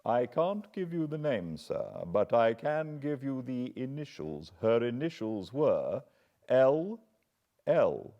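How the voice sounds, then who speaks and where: Brief: man playing a respectful middle-aged British butler, earnest, lively pitch, bit muffled. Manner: respectful, measured, apologetic.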